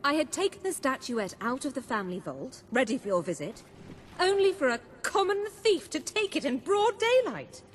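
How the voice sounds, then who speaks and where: A woman's voice: high-pitched, big pitch swings, moderate pace. An actress playing a wealthy, haughty noblewoman in a video game, with a gushing, melodramatic manner. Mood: gushing indignation. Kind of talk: theatrical video game acting.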